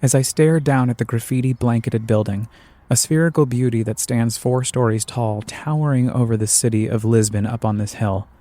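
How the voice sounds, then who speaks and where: A man hosting a podcast, reading scripted, reflective narration in a measured, earnest way. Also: medium pitch, steady tone, dark tone. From United States.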